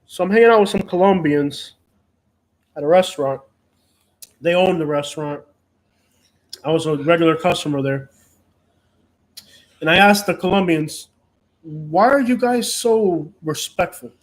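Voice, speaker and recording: A man's voice: a little high, a little slow, long pauses. A man from Cuba, hosting a casual live chat and rambling with frequent restarts and fillers. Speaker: host.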